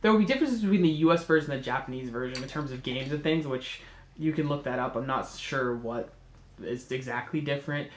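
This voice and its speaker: A man's voice: medium pitch, fairly quick, reverberant. A man with a cold and a congested, nasal voice, hosting a casual chat and rambling with enthusiasm while he corrects himself. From North America. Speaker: host.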